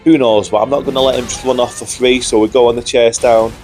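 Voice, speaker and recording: A young man with a cold-roughened voice, giving upbeat, chatty commentary over gameplay footage as a video host. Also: mid-range voice, level tone, fast talker, a little mellow, faint music behind. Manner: upbeat, chatty, says "guys" often. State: hoarse from a cold. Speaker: gaming YouTuber.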